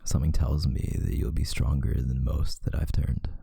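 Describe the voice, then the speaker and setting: A man voicing a possessive yet tender male vampire lover in an intimate, hushed ASMR roleplay, often slipping into a whisper. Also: steady tone, dry recording, studio quality, full character voice. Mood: possessive, proud. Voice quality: very deep, breathy, gravelly, often whispering. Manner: slow, hushed, soothing, seductive.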